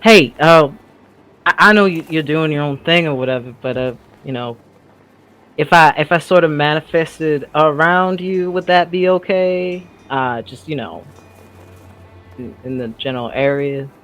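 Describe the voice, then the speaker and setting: A man's voice: on the high side, a little slow, a bit bright. A man playing an awkward, earnest young sidekick in a tabletop audio drama, hesitant and rambling as he talks. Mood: hopeful, rambling. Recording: music behind the voice.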